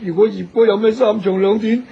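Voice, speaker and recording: A man's voice: high-pitched, fairly even intonation, fairly quick, gravelly, highs cut off, slight background noise. A man with a Hong Kong Cantonese accent playing an anxious, deferential chauffeur, flustered and apologetic, in a radio drama. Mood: distraught.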